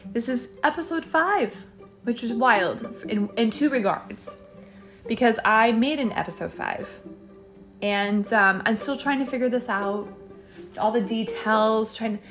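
A woman's voice: a little high, unhurried, fairly bright tone, faint music behind. Chatty woman hosting a home podcast. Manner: chatty and self-deprecating, with many fillers and laughs. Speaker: podcast host. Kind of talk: casual solo podcast chat. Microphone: boom microphone.

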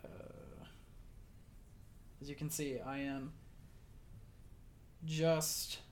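Woman, low voice, podcast host, casual, dryly teasing, some background noise. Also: drawn-out words, a little mellow.

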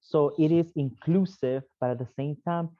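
A man from El Salvador answers interview questions in a relaxed, searching way, padding his thoughts with "right?" and "you know". The recording is dry and somewhat dull, like a lo-fi remote mic. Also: fairly high pitch, normal speed, dry recording. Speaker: podcast guest, alumni network vice president.

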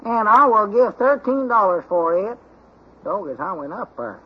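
An actor playing a folksy, muddle-headed and excitable country man with a Southern US accent in an old-time radio comedy. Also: sits high, moderate pace, slightly rough, heavily acted. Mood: halting, eager. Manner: folksy, easily distracted, rambling. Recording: muffled, worn old radio recording.

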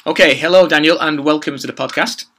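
A man's voice: on the high side, steady tone, fast talker, a bit bright. A man hosting an education podcast, introducing a guest and asking questions in an upbeat, conversational way. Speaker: podcast host. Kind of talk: podcast interview.

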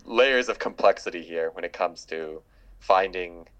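A man's voice: deep voice, measured pace, a bit bright, highs cut off. A man hosting a casual conversation, talking thoughtfully and hesitating with fillers.

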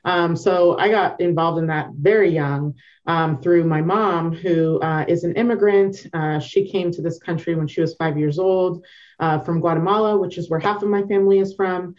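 A woman's voice: lowish voice, steady tone, normal speed, darkish tone, dull audio. A woman from Northern Virginia with an American accent, telling her story informally and reflectively as an interview guest. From Northern Virginia, United States. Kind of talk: conversational personal storytelling.

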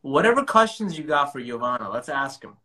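A man's voice: mid-range voice, big pitch swings, brisk, sharp tone. A man whose first language is Punjabi hosting a live-stream interview, enthusiastic and often repeating words as he starts sentences.

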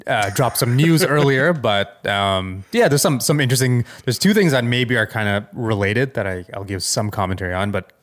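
Mid-pitched man in halting casual chat. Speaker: podcast co-host.

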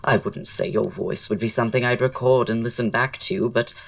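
An actor playing a witty, flirtatious poet and dandy in an audio drama, speaking in florid, arch, self-assured period style. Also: male voice, medium pitch, brisk, gravelly, no room sound, far from the mic, close to an everyday voice. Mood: proud, airy. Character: languid, witty, flirtatious male poet-playwright dandy, proud.

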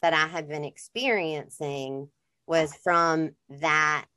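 A woman's voice: deep voice, steady tone, takes it slowly, pushed and bright. A woman in her thirties talking in a reflective, candid way in a casual conversation.

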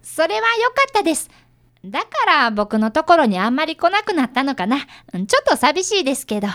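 A woman playing a bright, polite fifth-grade boy apprentice detective in a radio drama. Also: sits high, big pitch swings, fairly quick, heavily acted. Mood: polite, wistful. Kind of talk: radio drama acting with first-person narration.

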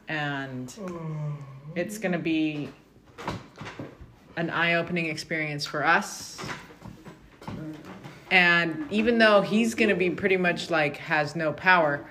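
A woman's voice: low-pitched, fairly bright tone, a little hiss or hum. Earnest woman leading family scripture talk. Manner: earnest, explains with chatty asides. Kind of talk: casual family scripture discussion.

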